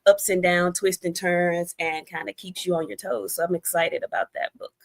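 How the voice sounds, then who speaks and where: A woman's voice: fairly low pitch, moderate pace. A middle-aged American woman talking casually as an interview guest, in a chatty, storytelling way with conversational asides. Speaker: guest, debut novelist.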